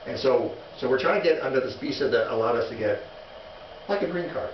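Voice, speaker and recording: A man teaching a missions class in a relaxed, halting way, with many restarts and fillers while telling stories. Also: medium pitch. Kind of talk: informal classroom lecture. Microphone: distant room mic. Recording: echoey, distant, muffled classroom recording with noise.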